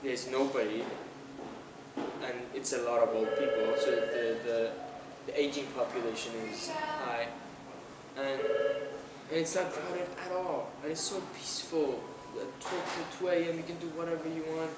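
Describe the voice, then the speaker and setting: A man's voice: medium pitch, fairly even intonation, moderate pace. A young man from Iran answering questions in a casual outdoor interview, hesitant, with many restarts and fillers. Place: outdoors on an island, city nearby. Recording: roomy, noisy outdoor camera audio from a distance.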